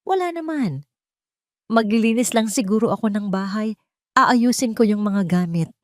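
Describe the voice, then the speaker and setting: A woman's voice: medium pitch, expressive, normal speed, no echo, pitched above the performer's own voice, lightly acted. Woman voicing a meek young woman, dry sound. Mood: meek, evasive. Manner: soft, feigned normality. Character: meek, frightened young woman hiding her suspicions.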